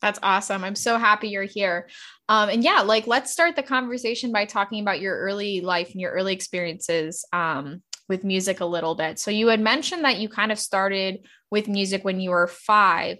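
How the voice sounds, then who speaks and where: A woman who teaches music hosts a relaxed interview. She is upbeat and chatty, with lots of "like" and "um" as she frames her questions. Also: mid-range voice, normal speed. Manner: upbeat, chatty, uses "like" often.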